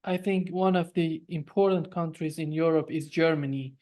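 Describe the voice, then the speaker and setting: An interview host asking a guest measured, inquiring questions. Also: medium pitch, steady tone, a little mellow.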